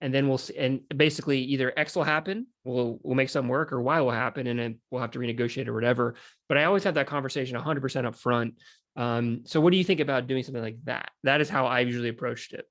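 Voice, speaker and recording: Informal, halting man in casual chat. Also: medium pitch, fairly even intonation, fairly bright tone. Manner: informal, halting, peppers speech with "like". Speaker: real estate wholesaler in conversation.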